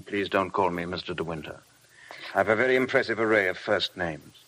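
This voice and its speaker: An actor playing a moody, commanding upper-class gentleman with an English accent, brusque and self-possessed, in 1950s radio drama. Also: low voice, moderate pace, low-quality recording. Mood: brusque, brooding.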